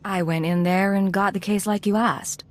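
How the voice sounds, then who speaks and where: A woman's voice: mid-range voice, fairly even intonation, moderate pace, natural voice. A woman playing a principled undercover intelligence agent in a video game, cool, concise and controlled. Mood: composed, assured.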